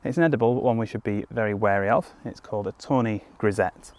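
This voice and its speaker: Low-voiced young man teaching outdoors. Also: quick pace, darkish tone. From United Kingdom. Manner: explanatory, easygoing, with frequent ums and restarts. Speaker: foraging guide. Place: woodland clearing outdoors. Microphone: camera on a tripod at a few metres, crouching in frame.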